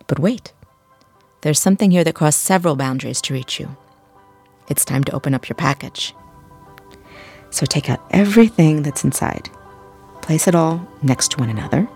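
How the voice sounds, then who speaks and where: A woman narrating an audio journey, speaking softly and directly to the listener. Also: low-pitched, gravelly, slightly rough. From English-speaking country. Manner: soft, gentle, addresses the listener directly. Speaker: audio tour guide. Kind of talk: scripted intimate narration. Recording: faint background music.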